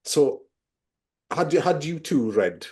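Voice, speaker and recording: A man's voice: on the high side, big pitch swings, slow, darkish tone. A man co-hosting a casual film podcast, swearing freely as he explains folklore at length. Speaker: podcast co-host.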